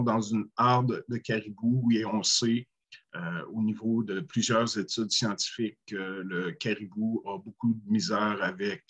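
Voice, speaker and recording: A man in his forties with a Quebec French accent, speaking slowly on a video call panel with many pauses. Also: fairly low pitch, fairly bright tone, dull audio. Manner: measured, many hesitant "euh" pauses. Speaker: First Nation panelist. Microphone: webcam on a video call.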